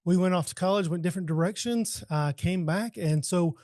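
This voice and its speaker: High-pitched American man, polite podcast guest. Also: a little fast, a little mellow, no room sound, clean studio sound. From Texas, United States. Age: 40s. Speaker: podcast guest, leadership coach. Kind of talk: casual interview chat.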